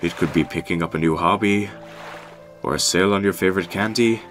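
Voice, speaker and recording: A big, genial goat monster dad played by a man, speaking in a fairly low voice. He is folksy, kindly and thoughtful. Music plays softly behind him in this cartoon comic dub. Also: a little fast. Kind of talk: animated comic dub voice acting.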